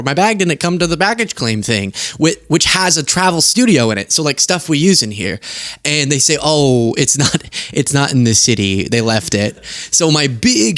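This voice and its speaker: A young man hosting a political commentary show from his studio desk, talking casually and animatedly with a giggly, tired manner. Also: no echo. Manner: animated, giggly, quick asides and sudden high outbursts. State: tired from lack of sleep. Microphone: earpiece and studio mic.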